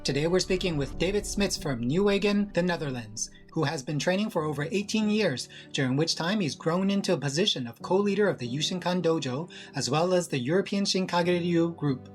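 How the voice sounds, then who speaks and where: Podcast host, medium pitch, reads a scripted intro, then asks easygoing questions, faint music underneath. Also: expressive, brisk, hardly any pauses. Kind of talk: podcast interview.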